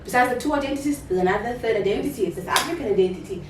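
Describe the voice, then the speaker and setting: A woman's voice: a little high, animated, very fast, recorded from a distance, noisy background. Young woman co-host in an echoey room. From Zambia. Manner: open, reflective storytelling. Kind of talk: casual chat. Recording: echoey room, off-mic, faint music.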